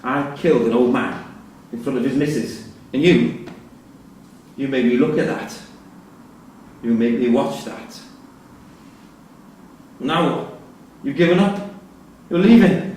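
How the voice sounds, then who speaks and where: An actor in a live staged reading playing a guarded, bitter prisoner who talks in a colloquial, needling way. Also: male voice, medium pitch, slow, long pauses, a little mellow, close to an everyday voice. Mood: bitter, wounded. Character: guarded, bitter male prisoner with a killing behind him. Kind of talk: live theatre acting. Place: theatre performance space in Salford. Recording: echoey hall, distant mic, faint noise.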